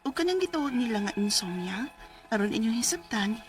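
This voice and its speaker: A woman's voice: fairly high pitch, big pitch swings, fairly quick. A woman acting a caring wife and mother in her fifties, with a Cebuano Filipino accent, in a radio drama. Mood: earnest narrating. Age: 50s. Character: Lenny, caring 58-year-old wife and mother telling her story. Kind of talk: Bisaya radio drama acting and letter narration.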